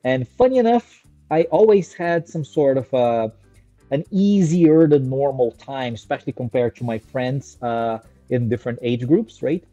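A man's voice: medium pitch, normal speed, warm tone, dry recording, lo-fi sound, soft background music. A man in his thirties from Brazil, a native Portuguese speaker, giving a casual educational talk on infant care to a desk webcam in a small room, often checking in with "right?" and drawing out his list headings. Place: small indoor room with a screen on the wall.

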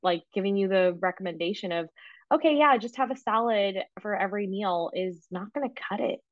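A woman's voice: medium pitch, a little fast, no room sound, phone-quality sound. A young woman from the Canadian prairies, a dietitian, chatting casually and animatedly in an interview over a video call, with many filler words. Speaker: registered dietitian guest. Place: small home room, indoors. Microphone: laptop microphone on video call.

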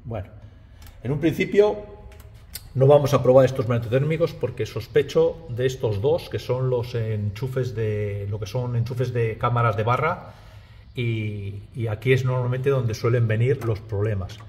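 A man's voice: mid-range voice, unhurried, dark tone. A middle-aged man, an electrician casually explaining his work to viewers and repeating words as he goes. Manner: talks to viewers as "chicos", repeats words, frequent "¿vale?". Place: small indoor restaurant back room. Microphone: body-worn camera, first-person view.

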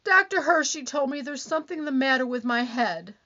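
A woman's voice: high voice, lively intonation, fairly quick, bright, forceful tone. A woman acting a needy, easily wounded, nagging wife in an old-time radio comedy, with whiny, dramatic complaining. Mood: self-pitying. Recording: dull, lo-fi band-limited sound.

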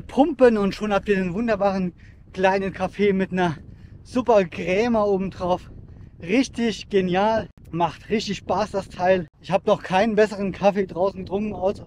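High-voiced chatty man hiking outdoors. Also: darkish tone, slight background noise. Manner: chatty and enthusiastic, with frequent fillers. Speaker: outdoor gear vlogger. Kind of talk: casual hiking vlog with gear reviews. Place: rocky forest outdoors.

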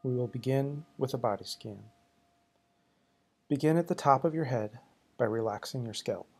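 Guy doing guided meditation, slow soothing delivery, breathy husky voice with lots of fry. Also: mid-range voice, darkish tone. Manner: slow, deliberate, soothing, short pausing phrases.

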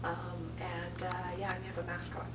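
A woman's voice: on the low side, monotone, moderate pace. A woman in her thirties speaking casually as an interview guest, telling anecdotes and acting out quoted remarks. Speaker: festival programming director, interview guest. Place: small office, indoors. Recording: roomy, distant mic, noticeable hum.

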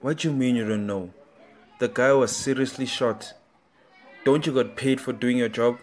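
A man's voice: medium pitch, normal speed, natural voice. A man playing a demanding, indignant authority figure at a hospital in an audio drama, scolding and commanding. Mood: angry, scolding.

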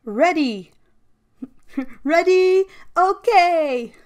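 A woman's voice: very high voice, lively intonation, very slow, lo-fi sound. A woman amateur voice actress chatting casually and playfully between takes of a recording. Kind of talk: casual outtakes from a recording session.

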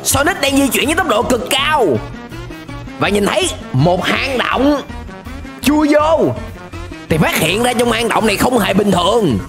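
A man's voice: extremely high pitch, big pitch swings, unhurried, fairly bright tone. A young man with a southern Vietnamese accent giving playful, exclamatory reaction commentary as a host, tossing in English interjections at a close microphone. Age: young adult. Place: small indoor streaming setup. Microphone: close desk microphone. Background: music.

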